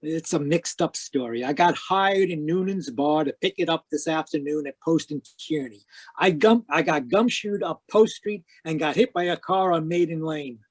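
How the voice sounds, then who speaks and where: A man playing a hard-boiled, wisecracking private investigator in a 1940s-style radio noir drama, deadpan, clipped and sardonic. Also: on the high side, normal speed, no echo. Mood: urgent, impatient. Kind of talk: 1940s radio noir acting with first-person narration. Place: live remote video-call performance.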